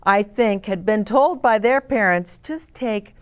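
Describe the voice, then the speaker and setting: A woman hosting storytime for children, reading aloud and pausing to explain gently and ask the listeners questions. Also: mid-range voice, clean tone. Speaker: children's storyteller, host of a read-aloud channel. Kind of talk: read-aloud storytime with asides to young children.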